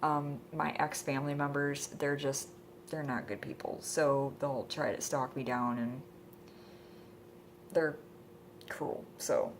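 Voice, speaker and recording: Low-voiced congested woman rambling. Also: fairly even intonation, takes it slowly, pauses often, slight background noise. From Michigan. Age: 20s. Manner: rambling, hesitant, full of ums. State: congested from a cold. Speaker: vlogger. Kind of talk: casual introduction vlog. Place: indoors at home.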